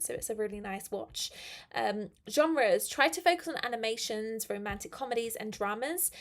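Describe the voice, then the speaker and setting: A young woman teaching on a live stream, her voice a little croaky, chatty and friendly, slowing down to stress key phrases. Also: mid-range voice. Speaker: English teacher. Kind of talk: live-stream English lesson.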